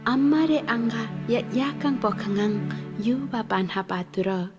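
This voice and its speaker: A woman's voice: fairly high pitch, moderate pace, close to an everyday voice. A woman performing as the narrator of a poetic futurist film. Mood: solemn, poetic. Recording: film clip with music underneath. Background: music.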